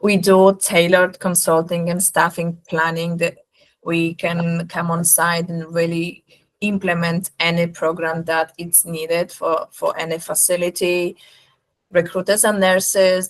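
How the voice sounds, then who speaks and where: Low-pitched woman with a Polish accent. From Poland. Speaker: recruitment agency representative presenting. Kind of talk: webinar sales presentation.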